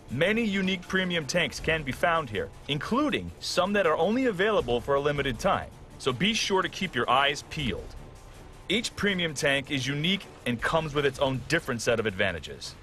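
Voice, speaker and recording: Lively male tutorial narrator. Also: medium pitch. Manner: polished, instructional delivery. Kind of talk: scripted game tutorial narration. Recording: music behind the voice. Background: background music.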